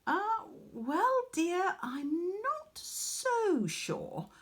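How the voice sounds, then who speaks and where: A woman's voice: very high-pitched, sing-song, drawn-out words, a bit bright, pitched above the performer's own voice, full character voice. A woman voicing a bored, placating mother in a storybook, unimpressed by her daughter. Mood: weary, placating. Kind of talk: storybook character voice.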